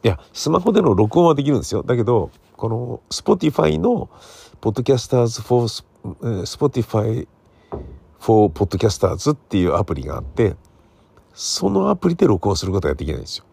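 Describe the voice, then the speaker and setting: A man's voice: lowish voice, very animated, brisk, gravelly, slightly rough, dry recording. A middle-aged man hosting a solo podcast in a casual, rambling, conversational way, recorded on a smartphone. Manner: rambling, conversational, seeking agreement with soft sentence endings. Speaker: podcast host and radio drama writer.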